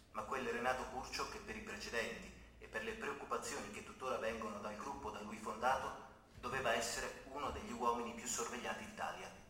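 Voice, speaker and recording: A formal old-time newsreader. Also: male voice, lowish voice, sharp tone, very echoey, far from the mic, slight background noise, poor audio. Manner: formal news reading. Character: 1970s news reporter, formal and detached.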